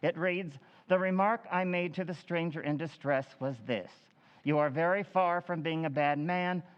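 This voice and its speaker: A man's voice: a little high, clean tone, natural voice. Man playing a formal town official, echoey hall. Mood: puzzled, official. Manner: measured, declamatory public address. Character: formal, deliberate town official chairing a public meeting. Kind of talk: old-time radio drama acting. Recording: echoey hall, slightly dull sound.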